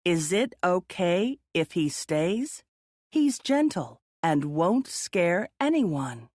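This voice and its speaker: A woman's voice: lowish voice, big pitch swings, slow, darkish tone, no room sound, studio quality, full character voice. A woman reading the lines of an apologetic, earnest young boy in a children's storybook. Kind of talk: storybook character dialogue.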